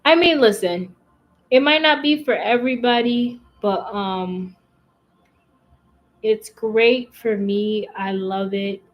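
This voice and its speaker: A woman chats casually on a livestream and reads out viewer comments as she goes. She rambles, restarts her sentences and fills the gaps with "um" and "like." Her delivery is slow and unhurried, at a mid pitch. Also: highs cut off. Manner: chatty, rambling, many fillers and restarts. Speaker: livestream host.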